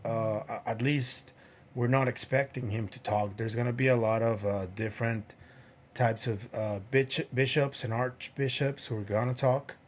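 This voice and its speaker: A man hosting his own podcast, musing aloud in a loose, conversational way. His voice sits at an ordinary middle pitch and he fills his pauses with plenty of ums and uhs. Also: fairly even intonation, a little hiss or hum. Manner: unhurried, many fillers. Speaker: podcast host. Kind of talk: casual solo commentary.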